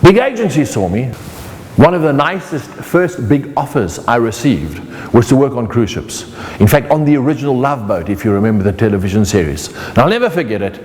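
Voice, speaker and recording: A man's voice: medium pitch, big pitch swings, brisk, a little mellow, big-room sound. A middle-aged man with a South African accent, teaching an online motivational course in a playful way that speaks straight to the viewer.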